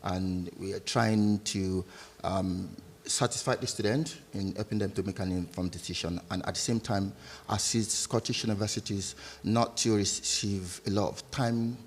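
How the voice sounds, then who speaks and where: Male, Nigerian accent, lowish voice, jovial entrepreneur being interviewed live. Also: steady tone, moderate pace, a little hiss or hum. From Lagos, Nigeria. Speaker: guest entrepreneur at a business event. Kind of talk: live interview answers to an audience.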